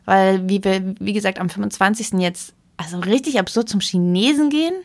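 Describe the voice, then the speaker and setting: A young woman co-hosting a podcast, chatting casually in an animated, emphatic, rambling way. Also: medium pitch, fairly quick. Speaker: podcast co-host.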